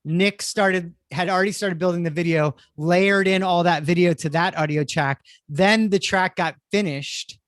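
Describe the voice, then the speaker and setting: A man with a notably high voice hosts a live panel discussion. He explains things to the audience with enthusiasm. The sound is dry, with little room around him. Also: normal speed, hardly any pauses, fairly bright tone, no room sound. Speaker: host of a theatre panel.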